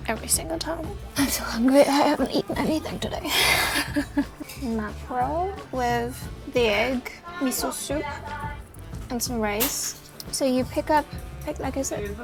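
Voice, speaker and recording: A woman's voice: fairly high pitch, gravelly. A German woman in her twenties, chatting casually and rambling with many fillers while filming a travel vlog on her phone. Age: 20s. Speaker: vlogger. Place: city streets and small eateries. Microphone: phone camera.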